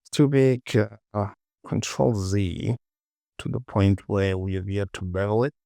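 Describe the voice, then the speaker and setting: A man hosting a casual software tutorial, thinking aloud and muttering repetitively to himself as he works. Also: lowish voice, steady tone, measured pace, vocal fry, no room sound, studio quality. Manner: muttering, repetitive self-talk. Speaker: 3D modeling tutorial host.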